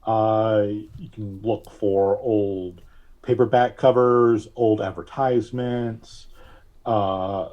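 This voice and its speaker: A man's voice: on the low side, steady tone, takes it slowly, warm tone, muffled recording. A man chatting casually as a guest in a podcast interview.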